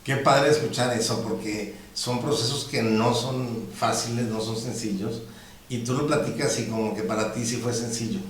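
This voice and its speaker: A man's voice: medium pitch, steady tone, moderate pace. A man hosting a podcast, asking questions in a friendly conversational way. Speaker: podcast host. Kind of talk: conversational interview. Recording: very echoey room, distant mic, faint hiss.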